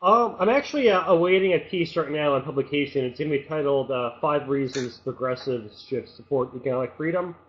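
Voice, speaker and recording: A man on a panel, a writer who explains his arguments quickly with many fillers and hedges. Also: on the high side. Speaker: guest writer. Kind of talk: casual panel discussion. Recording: dull lo-fi sound.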